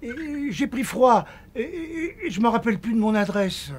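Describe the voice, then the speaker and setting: An actor playing a hoarse old man who stammers and hesitates as he poses as a forty-year-old game show contestant. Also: very high-pitched, expressive, normal speed, a little hiss or hum. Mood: nervous. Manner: stammering, hesitant, full of "euh". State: hoarse, with a cold. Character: old man passing himself off as forty, evasive.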